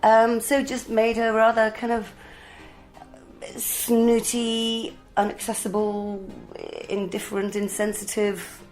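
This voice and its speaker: A woman's voice: a little high, level tone, slow, darkish tone, soft background music. A woman, an actor, chatting in a breezy, wry way in a casual interview. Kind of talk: casual behind-the-scenes interview.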